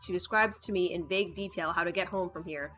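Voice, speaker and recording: Woman doing a lets play, reading visual novel out loud, bright voice, playful n wry, bit of music under it. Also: lowish voice, fast, low-quality recording. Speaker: gaming video host. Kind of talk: reading a visual novel aloud with casual asides. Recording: background music behind the voice.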